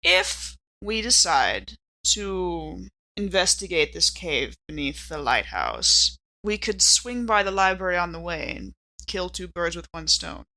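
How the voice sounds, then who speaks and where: Bright, lively woman at a game. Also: on the low side, unhurried, poor audio. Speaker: player in an actual-play game. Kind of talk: casual in-character tabletop banter.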